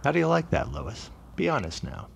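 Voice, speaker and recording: A man playing an earnest, courteous but suspicious newspaperman in a period audio drama, speaking deliberately. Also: a little high, wildly swooping pitch, vocal fry, slight background noise, full character voice. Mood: courteous, probing.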